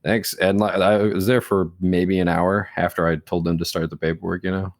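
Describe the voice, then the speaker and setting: A man's voice: low-pitched, level tone, normal speed, no room sound, studio quality. A young man, co-hosting a podcast, telling rambling stories and quoting people in casual chat. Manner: rambling storyteller, quotes people, swears, laughs. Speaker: podcast co-host.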